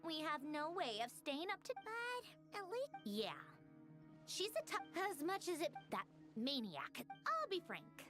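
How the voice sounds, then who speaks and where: A woman voicing a small, excitable, easily exasperated childlike companion in a video game, with a fussy, exclamatory way of talking. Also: sits high, unhurried, music in the background, full character voice. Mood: earnest, chatty.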